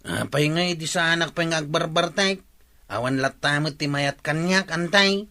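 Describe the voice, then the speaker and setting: An actor playing a stubborn, hard-drinking bachelor tricycle driver in an Ilocano radio drama, with a drawling, dismissive manner. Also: male voice, fairly high pitch, normal speed, pushed and bright.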